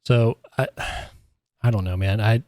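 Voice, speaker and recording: A man's voice: fairly low pitch, steady tone, slow pace, a little mellow, no room sound, clean studio sound. A man co-hosting a podcast in casual chat, opinionated and arguing his point with hesitant restarts. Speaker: podcast co-host.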